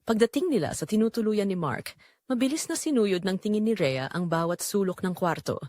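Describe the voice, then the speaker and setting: A woman's voice: mid-range voice, lively intonation, brisk, darkish tone, no echo, clean studio sound. A woman narrating a scripted dramatic story.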